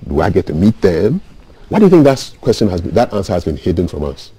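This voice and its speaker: A Ghanaian man hosting a radio interview, animated as he reads audience messages and asks questions. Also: sits low, fast, slightly rough. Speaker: radio show host.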